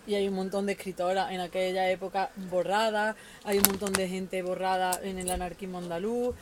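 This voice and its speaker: A woman's voice: mid-range voice, flat delivery, fairly quick, noisy background. A young adult woman speaking thoughtfully in a casual group conversation.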